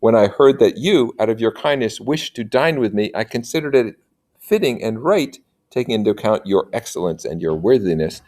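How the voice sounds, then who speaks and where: A man reads the part of an impoverished medieval gentleman in a storybook, devoted and sorrowful in love. His speech is earnest, courtly and formal, in a rather low voice. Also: close to an everyday voice.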